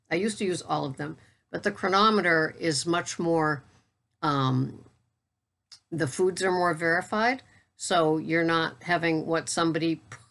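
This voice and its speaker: A woman in her sixties talking casually to camera as a keto vlogger and coach, in a steady, matter-of-fact voice with wry asides. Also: lowish voice. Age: 60s. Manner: steady, matter-of-fact, self-described monotone.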